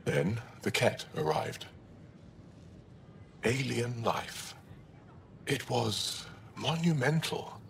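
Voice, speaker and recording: An actor playing a grave alien ambassador in a video game, guarded but hopeful, speaking in a measured, formal way. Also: male voice, medium pitch, very animated, creaky, fairly bright tone, full character voice. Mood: solemn, wary. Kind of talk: dramatic video game acting. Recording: roomy long reverb, faint background noise.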